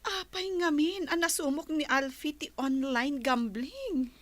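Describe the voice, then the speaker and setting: High-voiced, concerned Filipino friend. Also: female voice, expressive, measured pace, dry recording. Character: concerned, practical young female friend giving advice. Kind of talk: Philippine radio drama acting. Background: music.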